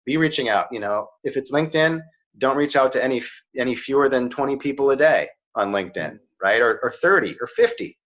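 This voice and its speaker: A man's voice: mid-range voice, expressive, brisk, a bit bright. A middle-aged man giving career advice in a casual chat, earnest and often checking in with "right?" and "you know". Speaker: career coach. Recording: slightly dull, muffled audio.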